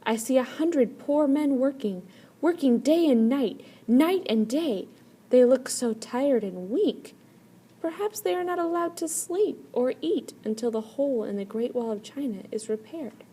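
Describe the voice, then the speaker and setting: A woman's voice: sits high, animated, a little slow, mellow, pitched above the performer's own voice, exaggerated voice. A woman voicing an anxious, dramatic elder brother in a storybook folktale, exclaiming with emphasis. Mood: pitying. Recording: clear background noise.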